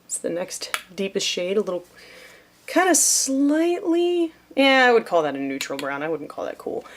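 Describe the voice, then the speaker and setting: A woman's voice: mid-range voice, sing-song, moderate pace. A young woman talking through a makeup tutorial in a chatty, playful way, sometimes dropping to a near whisper as she works. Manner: chatty, playful, self-deprecating. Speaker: beauty vlogger.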